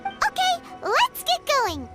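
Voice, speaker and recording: A woman voicing a tiny, cheerful, childlike fairy companion in a video game, chatty and squeaky. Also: wildly swooping pitch, slow, heavily acted. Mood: eager. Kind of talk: English video game voice acting. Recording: music playing behind the voice.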